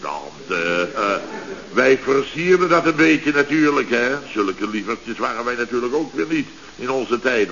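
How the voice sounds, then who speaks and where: An actor playing a blustering, scatterbrained middle-aged boss in a radio comedy, rambling self-importantly with stammering restarts and repetitions. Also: male voice, lowish voice, fairly bright tone. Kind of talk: 1970s radio comedy acting. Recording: hissy, muffled old radio recording.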